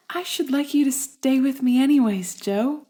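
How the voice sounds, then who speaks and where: An actress playing a sweet, selfless young sister in a period audio play, earnest and gently supportive. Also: female voice, sits high, measured pace. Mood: gently protesting. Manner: soft-hearted, earnest. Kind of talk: period audio drama acting.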